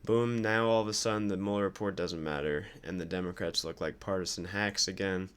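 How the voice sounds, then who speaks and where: A man doing casual political reaction commentary, sardonic, incredulous and quick to swear. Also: low voice, a bit bright, smooth. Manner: sardonic, incredulous, foul-mouthed. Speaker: streamer commenting on news clips.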